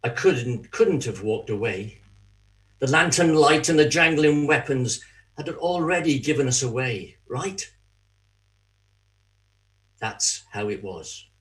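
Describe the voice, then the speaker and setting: Remorseful betrayer, played by a man, mid-range voice, very measured pace. Anguished, halting confession for a church drama. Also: mid-range voice, animated, a bit bright. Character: Judas, remorseful betrayer haunted by guilt, pleading. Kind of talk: dramatic monologue in a church reading.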